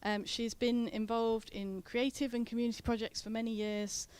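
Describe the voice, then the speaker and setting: A woman's voice: fairly high pitch, little pitch movement, normal speed, darkish tone, clear, resonant tone. A woman hosting a poetry event who introduces the next performer in a chatty way, with fillers and self-corrections. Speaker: event host. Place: indoor event venue.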